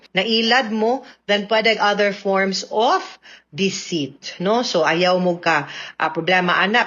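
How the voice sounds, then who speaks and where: A Cebuano Filipino woman speaks as a radio legal adviser, explaining things conversationally and checking in with tag questions. Her voice sits at a middle pitch. The sound is a little dull, as on a lo-fi broadcast. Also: a bit bright. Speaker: radio legal advice host, attorney. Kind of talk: spoken legal advice to listeners, mixing in English. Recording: slightly dull radio recording.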